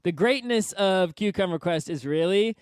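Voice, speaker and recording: A man's voice: high-pitched, lively intonation, moderate pace, smooth, no echo, clean studio sound. A man chatting casually and enthusiastically as a podcast co-host, emphatic and often repeating his phrases. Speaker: podcast co-host reviewing a webcomic. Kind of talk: casual chat.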